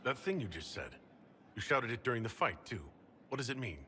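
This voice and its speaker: A man's voice: mid-range voice, sing-song, brisk, pushed and bright, a little hiss or hum. An actor playing a wry, self-assured male hero in a video game, with a dry, teasing manner. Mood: puzzled. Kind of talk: video game cutscene acting.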